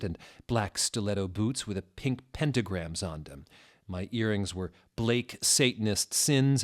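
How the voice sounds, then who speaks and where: Male narrator, lowish pitch, mock-serious dramatic reading for comic fiction, deliberate with odd wording.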